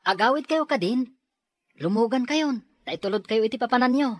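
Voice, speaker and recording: A woman's voice: fairly high pitch, expressive, moderate pace, natural voice. A woman with an Ilocano Filipino accent acting a brusque figure in a radio comedy-drama. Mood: offering.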